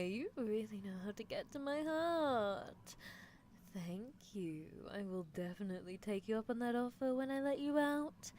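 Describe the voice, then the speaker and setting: A woman's voice: mid-range voice, darkish tone, slight background noise, natural voice. A woman voicing a playful mad-scientist horse girl who teases and dotes on a test subject in live roleplay ASMR. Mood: teasing, affectionate. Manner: teasing, theatrical, doting.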